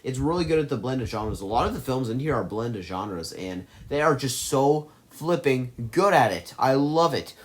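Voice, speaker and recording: Man, mid-pitched voice, effusive, rambling, self-correcting, casual film commentary. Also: lively intonation, moderate pace, hardly any pauses. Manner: gushing, effusive praise. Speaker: movie list vlogger. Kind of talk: casual movie ranking commentary.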